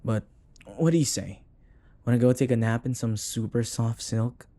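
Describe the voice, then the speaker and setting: A man acting a rich older boyfriend, possessive and sweetly doting, in an intimate romantic audio roleplay, speaking in a soft, hesitant, coaxing murmur. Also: mid-range voice, moderate pace, dry recording, natural voice. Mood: coaxing, tender.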